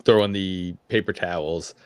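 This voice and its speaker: A man's voice: sits low, steady tone, normal speed, darkish tone, clean tone, no room sound. A man talking casually on a panel, hesitant and searching for his words.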